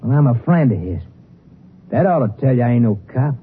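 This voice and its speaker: Through the hiss of a thin old radio recording comes an actor as a small-time American crook. His mid-pitched voice is slangy and unschooled, insistent and keen to be trusted, in the style of fifties crime drama. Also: very animated, brisk, natural voice. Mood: insistent, defensive. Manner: uneducated, slangy, insistent drawling talk. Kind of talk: 1950s radio drama acting. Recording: tinny, noisy 1950s radio recording.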